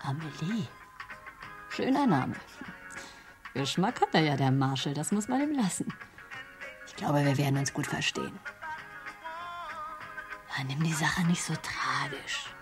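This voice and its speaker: A woman's voice: sits low, big pitch swings, unhurried, long pauses, music in the background. A woman playing a brash, cynical, worldly prostitute in a radio drama, blunt and mocking, talking in slang. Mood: chatty, knowing.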